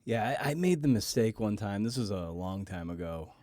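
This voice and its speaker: Low-voiced man chatting haltingly. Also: animated, dark tone, no room sound, studio quality. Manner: halting, stammering, repeats words while forming thoughts. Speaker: podcast host and writer. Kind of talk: casual interview chat.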